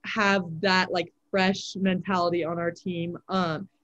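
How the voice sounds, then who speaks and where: A teenage girl, a high school volleyball player, answering interview questions in a casual way with lots of "like" fillers. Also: level tone, unhurried, fairly bright tone, highs cut off. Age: teens. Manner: chatty, many "like" fillers.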